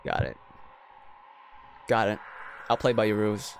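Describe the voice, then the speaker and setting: A man's voice: on the low side, very animated, a little slow, vocal fry, voice pushed down, full character voice. A man voicing a composed, wary eighteen-year-old rich boy held captive in a visual novel. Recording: music behind the voice.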